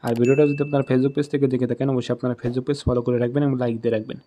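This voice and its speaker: A man's voice: medium pitch, little pitch movement, rapid-fire, mellow, dull audio, slight background noise. A man with a Bangladeshi accent narrating a step-by-step app tutorial as host, in repetitive instructional phrasing.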